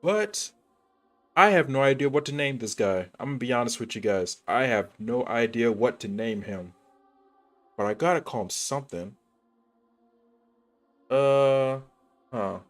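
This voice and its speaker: A man's voice: mid-range voice, lively intonation, unhurried, long pauses, a bit bright. A young man streaming a game, chatting casually in a rambling, laid-back way. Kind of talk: casual gameplay commentary. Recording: stream with faint game music.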